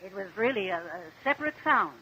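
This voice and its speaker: A woman's voice: medium pitch, expressive, quick pace, bright, forceful tone. An actress playing an earnest, emphatic female witness in a vintage radio courtroom drama. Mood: insistent. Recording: noisy old radio broadcast.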